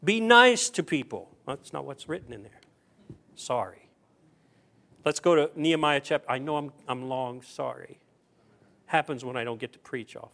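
A man's voice: medium pitch, animated, moderate pace, creaky, pauses often, a bit bright. A man preaching a conversational sermon, putting rhetorical questions to his listeners and repeating phrases for emphasis. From the South (southern US). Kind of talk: sermon with scripture reading.

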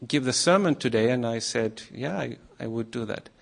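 A man from Iceland, a former missionary, giving a nervous, halting sermon to a congregation with many pauses and fillers. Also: mid-range voice, creaky. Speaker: guest preacher.

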